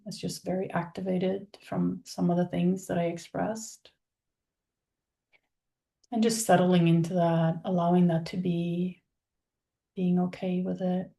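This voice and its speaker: Slow, gravelly-soft woman guiding meditation. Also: fairly low pitch. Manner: soothing, unhurried, with long pauses between phrases. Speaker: guided meditation leader. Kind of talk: guided meditation, soft spoken visualization.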